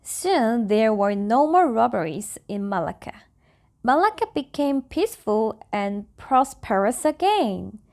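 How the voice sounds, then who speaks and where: A woman whose native language is Korean reads stories aloud. Her voice sits a little high and she takes her time over each phrase. Her English is simple and careful, with casual chat between passages. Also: very animated, measured pace, darkish tone. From South Korea. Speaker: vlogger reading stories aloud. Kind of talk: soft storybook reading and casual chat.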